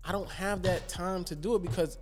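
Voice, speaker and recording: A man's voice: high-pitched, fairly even intonation, fairly quick, music in the background. A man, speaking emphatically and stumbling over repeated words as he makes his point. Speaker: podcast co-host. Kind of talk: casual chat.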